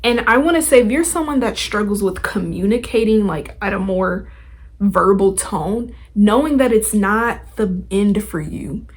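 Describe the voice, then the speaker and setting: A woman's voice: mid-range voice, a little slow, breathy edge. A young adult woman hosting a podcast, talking in a casual, motivational way with chatty, informal, slangy phrasing. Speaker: podcast host.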